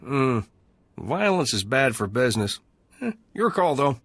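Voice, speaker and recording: A man's voice: mid-range voice, sing-song, a little slow, a bit bright, husky, no echo, full character voice. A man playing a breezy, cynical salvage dealer in a video game, with casual, wry, chatty delivery. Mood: wry, scheming. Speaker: salvage trader character.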